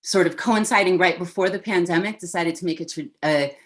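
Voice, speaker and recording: A woman from Oakland, California, a creative director and activist, introducing herself on a video call panel in a deliberate, reflective way. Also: lowish voice, fairly bright tone.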